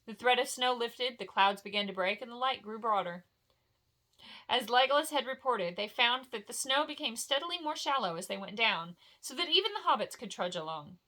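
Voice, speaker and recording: Female storyteller: bright voice, expressive fantasy narration, homely and informal, like a bedtime read-aloud. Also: a little high, fairly quick. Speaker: storyteller reading a novel aloud.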